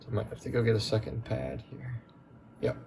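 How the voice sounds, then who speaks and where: A man hosting a relaxed, chatty repair walkthrough, his voice going a bit hoarse as he works. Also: medium pitch, fairly quick, background noise. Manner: relaxed, chatty, with many fillers. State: losing his voice. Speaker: tech repair video host.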